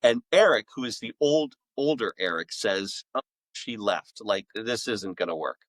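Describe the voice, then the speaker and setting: A man's voice: fairly low pitch, animated, moderate pace, a bit bright, dry recording, poor audio. A middle-aged man, a podcast guest and author, telling casual anecdotes in an enthusiastic, rambling way.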